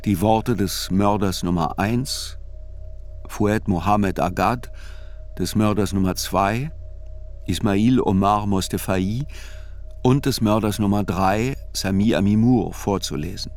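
A man reads a scripted literary radio narration in a measured, sober way. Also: on the low side, darkish tone, faint music behind.

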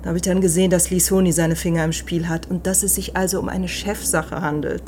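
A woman playing a brisk, slightly piqued hospital doctor in a radio drama, crisp and pointed. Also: lowish voice, fairly even intonation, darkish tone, a little hiss or hum, natural voice. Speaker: hospital colleague of the psychiatrist.

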